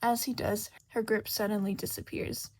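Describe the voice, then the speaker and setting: A woman's voice: mid-range voice, level tone, normal speed. A woman reading a scripted fiction story aloud as its narrator. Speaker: podfic narrator.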